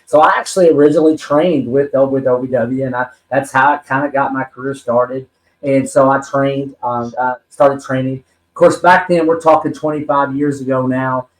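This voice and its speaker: A man's voice: mid-range voice, steady tone, moderate pace. Earnest rambling man in an echoey room. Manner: earnest, rambling storyteller with many ums and restarts. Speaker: podcast guest, wrestler and talent manager. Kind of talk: personal story in a podcast interview.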